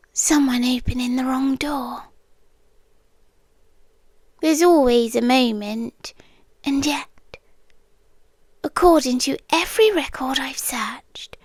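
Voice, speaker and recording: Woman acting slow, hushed British lady doctor, eerie. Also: high-pitched, no echo. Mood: hushed, mysterious. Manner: hushed, deliberate, slipping into whispers, long pauses. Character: soft-spoken eerie, possessive female doctor tending a lone patient. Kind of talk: ASMR roleplay. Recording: close, dry ASMR recording.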